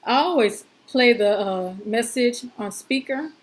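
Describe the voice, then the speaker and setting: A woman's voice: fairly high pitch, animated, measured pace. A woman presenting an informal step-by-step tutorial in a chatty, folksy and reassuring way, using plain everyday words.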